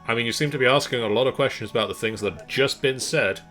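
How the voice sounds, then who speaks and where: A man's voice: mid-range voice, fast talker, fairly bright tone. A man giving wry, teasing casual commentary as co-host of a game stream. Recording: game music behind.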